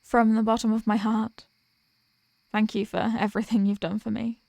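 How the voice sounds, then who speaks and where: A woman playing a remorseful villain and former hero, confessing to a lost love in a halting, earnest dramatic monologue. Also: on the high side, little pitch movement, gravelly, darkish tone, no room sound. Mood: tender, apologetic. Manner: halting, earnest, pausing between phrases. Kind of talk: dramatic audio roleplay monologue, voicemail confession.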